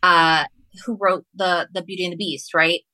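Lady guest on a podcast, spanish first language, bright lowish voice, chatty, keeps restarting her sentences. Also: steady tone, no room sound, rough recording. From Ecuador. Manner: halting, self-correcting, repeats phrases. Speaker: guest author. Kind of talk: casual podcast interview, storytelling.

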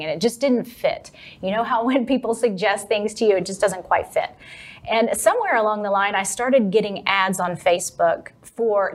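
A woman from Texas, a confidence coach, speaking as an upbeat, animated interview guest. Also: medium pitch. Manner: upbeat, animated, laughs easily. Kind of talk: interview conversation.

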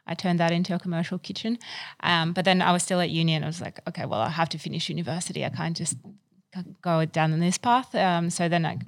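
A woman's voice: fairly low pitch, fairly even intonation, quick pace, creaky, dry recording, studio quality. A woman in her thirties from Ukraine, a business owner answering interview questions in a chatty way with many fillers and restarts.

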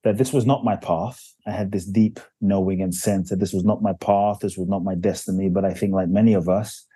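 A man's voice: sits low, steady tone, brisk, dark tone, breathy edge, no room sound. A man from Ghana who grew up in London, a spiritual teacher telling his life story earnestly and reflectively in a podcast interview. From Ghana, raised in London. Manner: earnest and reflective, builds stories with repetition. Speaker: guest, spiritual teacher and author.

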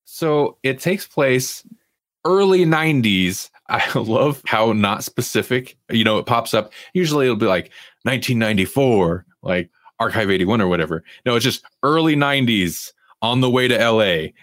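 A man's voice: mid-range voice, expressive, normal speed, a bit bright, no echo, clean studio sound. A man hosting a TV review podcast in casual chat, rambling and informal with an amused manner. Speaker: podcast host reviewing TV shows.

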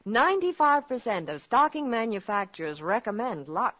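A woman's voice: fairly high pitch, big pitch swings, normal speed, fairly bright tone, muffled recording. A woman reading a scripted 1950s radio commercial on a vintage recording. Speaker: commercial spokeswoman. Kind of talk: 1950s radio advertising read.

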